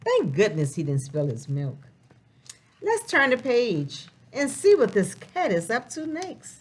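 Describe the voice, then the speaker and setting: Lady doing a kids storytime read aloud, higher voice, swoops up and down, sing-songy. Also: unhurried, husky. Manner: expressive, sing-song, with rhetorical questions. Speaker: children's storybook reader. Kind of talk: animated read-aloud for young children.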